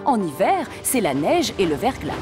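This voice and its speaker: Animated woman narrating a children's story. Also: mid-range voice, moderate pace, over loud music.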